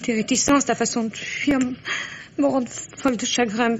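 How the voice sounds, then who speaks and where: An actress playing a distraught, pleading wife in an old radio mystery play, with a breathless, faltering, melodramatic delivery. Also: female voice, on the high side, steady tone, normal speed, lightly acted. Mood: pleading, suspicious. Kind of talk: old French radio drama acting. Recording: dull lo-fi vintage radio, clear hiss.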